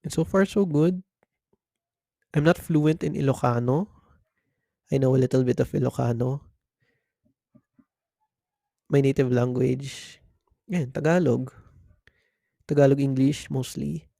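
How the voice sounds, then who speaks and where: Young man with a Filipino accent, solo podcast host, dark gravelly tone, reflective and easygoing, close dry mic. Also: mid-range voice, moderate pace, pauses often, dry recording. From Macau. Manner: mixes English and Tagalog, thoughtful, restarts phrases. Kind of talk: casual reflective monologue.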